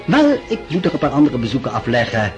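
A man's voice: mid-range voice, huge pitch swings, fast talker, darkish tone, dull audio. A man acting a gallant, gossipy society dandy in a Dutch radio drama, effusive, flowery and affected. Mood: gossipy, relishing. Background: music.

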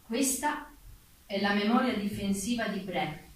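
Very echoey actress as sober stage narrator. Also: female voice, medium pitch, measured pace, natural voice. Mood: sober, formal. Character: sober female narrator of historical events. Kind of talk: scripted documentary-style stage narration. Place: theatre stage, recorded from afar. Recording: very echoey distant stage recording, faint noise.

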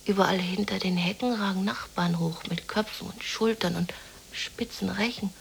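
An actress playing a lonely, depressed, dreamy woman in a radio play monologue, musing haltingly to herself in a breathy half-whisper. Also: medium pitch, a little hiss or hum, close to an everyday voice. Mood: haunted, remembering.

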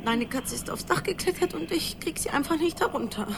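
Animated, high-voiced, exasperated friend. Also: female voice, creaky, noisy background. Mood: upset. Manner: halting, emotional, scolding then soothing. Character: sleepy, upset, exasperated but caring woman friend. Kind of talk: radio play acting.

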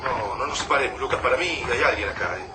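A man's voice: medium pitch, expressive, fast talker, distant mic, very noisy, lightly acted. A man voicing a tense male survivor in a radio play, speaking urgently and warily, heard from a little off the mic in a roomy space over music. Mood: wary. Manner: urgent, wary. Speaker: radio drama actor.

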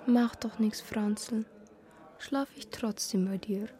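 Woman acting a gentle, slow-spoken waitress. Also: mid-range voice, steady tone, close to an everyday voice. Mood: whispered comfort. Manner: soft, intimate. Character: kind, gentle waitress lover. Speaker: actor in a radio drama.

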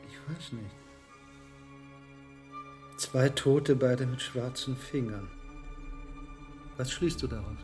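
An actor playing a learned, hesitant medieval monk herbalist in a radio drama, speaking in a deliberate, musing way. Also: male voice, mid-range voice, a little mellow, soft background music, close to an everyday voice. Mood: grave, puzzled. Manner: deliberate, musing, with reflective pauses. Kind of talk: German radio drama acting.

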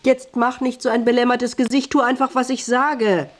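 A woman's voice: on the high side, fairly even intonation, a little slow. An actress playing a bossy, volatile wife in a radio drama, switching between cooing and scolding in a commanding manner. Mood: lecturing, commanding. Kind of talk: German radio drama acting.

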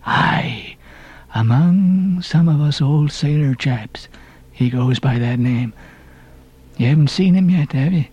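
A man's voice: on the high side, slow, a little mellow, husky, no room sound, lo-fi sound. A man voicing a ragged old sailor stranger in an audiobook, cryptic and derisive, with emphatic archaic seafaring talk. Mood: insanely earnest. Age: older. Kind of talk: audiobook character reading.

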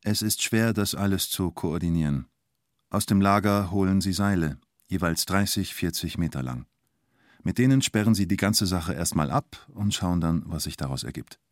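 Deep-voiced male storyteller. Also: expressive, moderate pace, dry recording, studio quality. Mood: wry, observing. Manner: colloquial, clipped short phrases. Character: male storyteller recalling the bank siege, wry and colloquial. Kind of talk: scripted radio drama narration in present tense.

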